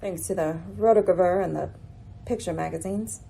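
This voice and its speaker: Woman playing a husky, mellow, shrewd lady detective. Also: lowish voice, normal speed, low-quality recording. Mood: dryly ironic. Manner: poised, formal, dryly pointed. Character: shrewd, composed lady detective, dryly ironic. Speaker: actor in an amateur radio play. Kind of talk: radio mystery drama acting.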